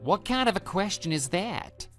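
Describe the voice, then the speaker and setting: A man's voice: sits high, very animated, normal speed, fairly bright tone, faint music behind. A man playing a smug, brazen lover who talks down to a betrayed husband in an audio drama. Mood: scornful, dismissive. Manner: smug, condescending, dismissive. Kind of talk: scripted texting-story radio drama.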